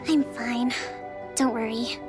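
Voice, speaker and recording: A woman voicing a gentle, composed young priestess and strategist in a video game, speaking in a measured, formal way. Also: fairly high pitch, steady tone. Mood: grave, thoughtful. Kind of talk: English video game voice acting. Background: faint background music.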